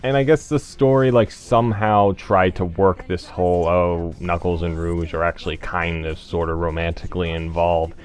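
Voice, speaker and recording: A man giving casual game commentary as a let's play host, explaining things in a hesitant, halting way. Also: deep voice, fairly even intonation, darkish tone. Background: game music.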